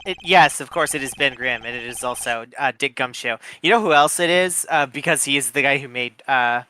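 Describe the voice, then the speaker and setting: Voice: mid-range voice, brisk, pushed and bright, clear, resonant tone. A streamer in casual game commentary, enthusiastic and building up jokes with small hesitations.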